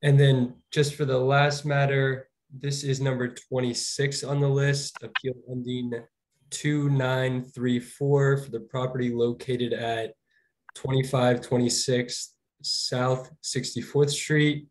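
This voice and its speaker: A slightly hoarse man speaks to an appeals board over a video call. He is polite and deferential, and his pitch is ordinary for a man. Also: little pitch movement, slow pace, poor audio. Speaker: law department representative. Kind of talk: formal hearing remarks.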